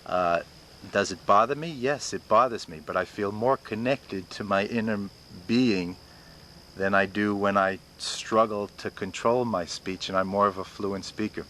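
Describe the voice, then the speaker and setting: Deep-voiced guy in his 50s, stutters a lot, repeats words and gets stuck, interview talk. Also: measured pace, a bit bright, a little hiss or hum. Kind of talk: personal interview.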